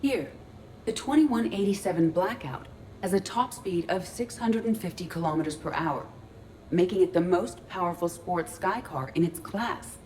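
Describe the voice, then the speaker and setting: An actress playing a curious, literal ship's artificial intelligence in a video game, speaking precisely and formally with a deadpan delivery. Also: female voice, fairly low pitch, normal speed, a little hiss or hum, lightly acted. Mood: measured, curious. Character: female ship artificial intelligence in android body, curious, literal, earnest. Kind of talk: video game dialogue acting.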